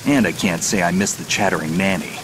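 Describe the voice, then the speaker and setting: An American actor playing a stoic, sardonic veteran infiltration soldier in a video game, gruff, gravelly and terse. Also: male voice, low voice, a little fast, background noise. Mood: dry, sardonic. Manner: terse, dry, clipped. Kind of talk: video game cutscene acting, radio dialogue.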